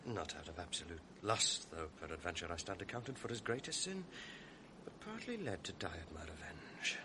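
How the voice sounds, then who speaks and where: Sly, mocking stage villain, lively swooping delivery, noisy old radio broadcast. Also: male voice, low-pitched, moderate pace. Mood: brooding. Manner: sly, mocking, drops to venomous whispers. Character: scheming, bitter male villain ensign. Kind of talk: classic radio drama acting. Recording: old broadcast with audible noise.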